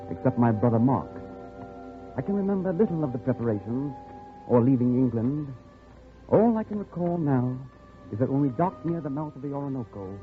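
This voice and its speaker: An actor playing a world-weary gentleman adventurer in a radio drama, speaking in a measured, wry, brooding way. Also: a little high, close to an everyday voice. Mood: wry, detached. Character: world-weary gentleman adventurer narrating his doomed jungle expedition. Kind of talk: 1940s radio drama narration and acting. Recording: tinny old radio broadcast.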